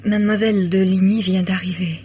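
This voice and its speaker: A timid housemaid played by an actress speaks respectfully in a flat, subdued tone. The vintage radio-play recording is dull and a little noisy. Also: female voice, medium pitch, brisk, natural voice. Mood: anxious, deferential. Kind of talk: 1950s French radio drama acting.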